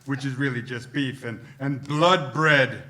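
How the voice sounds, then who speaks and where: A man playing a geeky, excitable fantasy-fan cop in a live cartoon table read, fussy, pedantic and mock-formal. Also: medium pitch, measured pace. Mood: eager. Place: theatre stage before an audience.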